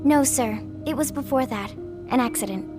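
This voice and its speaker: A woman voicing a bright, dutiful teenage girl crew member in a video game, earnest and respectful. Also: high voice, moderate pace, natural voice. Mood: earnest, subdued. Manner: earnest, respectful, military politeness. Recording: loud music behind the voice.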